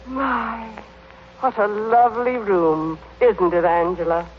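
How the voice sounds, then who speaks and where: A woman's voice: mid-range voice, steady tone, takes it slowly, slight background noise, natural voice. An actress playing a polite, placating well-to-do lady in an old-time radio comedy. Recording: muffled old radio recording.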